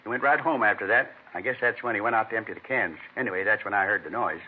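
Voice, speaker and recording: An actor playing a rambling, earnest middle-aged American neighbor in an old radio crime drama, plain-spoken and folksy. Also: male voice, fairly low pitch, fast talker, breathy edge. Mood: proud, matter-of-fact. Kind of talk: 1950s radio acting. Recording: thin, narrow-band vintage radio recording.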